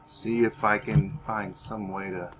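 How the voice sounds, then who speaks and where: A man's voice: fairly low pitch, little pitch movement, unhurried, fairly bright tone, slight background noise. A man giving gameplay commentary as roleplay, musing aloud in character. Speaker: gamer playing in character.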